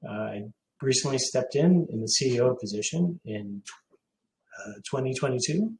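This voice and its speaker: A man in his forties from Hong Kong, answering questions as a company CEO on a video call, pausing with many filler sounds. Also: medium pitch, animated, echoey room, a little hiss or hum, poor audio. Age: 40s.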